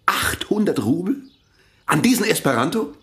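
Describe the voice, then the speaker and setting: A man's voice: on the high side, very animated, normal speed, creaky, a bit bright, heavily acted. An actor playing a zealous, pompous village priest who invented his own language, in a radio play. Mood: indignant, grandiose. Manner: pompous, insistent.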